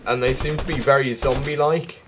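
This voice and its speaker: A man's voice: mid-range voice, fairly even intonation, normal speed, background noise. A man giving casual, chatty walkthrough commentary as a gaming video host, often thinking aloud. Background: background music.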